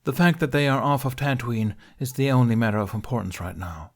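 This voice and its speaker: A man voicing a chastened young knight in an audiobook, deferential and subdued, with a quiet stubborn streak. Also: medium pitch, a little fast, pitched above the performer's own voice, lightly acted. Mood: respectfully defiant. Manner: subdued, deferential, brief answers. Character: chastened young male Jedi, meek yet quietly stubborn.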